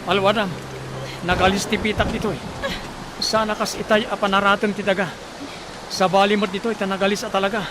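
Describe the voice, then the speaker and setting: A man's voice: high-pitched, level tone, a little fast, long pauses, natural voice. A man playing an anxious, protective man in danger in a radio drama. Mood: urgent, protective. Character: anxious, protective man guiding companions through landslide. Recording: loud outdoor storm-like noise.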